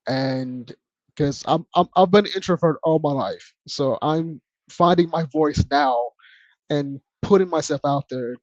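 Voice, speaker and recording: Soft-spoken laid-back man guest. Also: a little high, unhurried, no echo, dull audio. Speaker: podcast guest. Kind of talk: casual chat.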